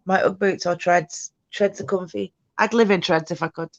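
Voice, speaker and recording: Yorkshire lass laughing a lot, swears loads, dry dull sound, kinda muffled. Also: female voice, fairly low pitch, fairly even intonation, moderate pace, dry recording. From born in Cambridge, raised in Yorkshire. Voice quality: laughs often, blunt and sweary banter. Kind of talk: casual late-night stream chat.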